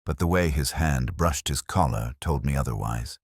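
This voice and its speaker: A man narrating a mystery drama as a brooding, reflective detective inspector, in a measured, solemn and literary delivery. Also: very deep voice, gravelly, slightly rough, no echo, studio quality, exaggerated voice. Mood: reflective, sombre. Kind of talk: scripted first-person mystery narration.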